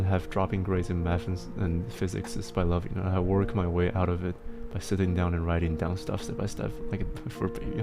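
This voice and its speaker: A young adult man hosting a casual stream where he gives advice, rambling and giggly, with frequent laughs and restarts. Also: low voice. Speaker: host giving advice on submitted struggles. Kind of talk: casual livestream advice chat.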